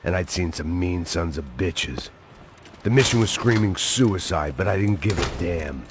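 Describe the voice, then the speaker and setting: A man playing a weary, cynical middle-aged action hero in a video game, narrating in a deadpan, hard-boiled noir manner with an American accent. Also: sits low, measured pace, noisy background. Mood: grim, determined.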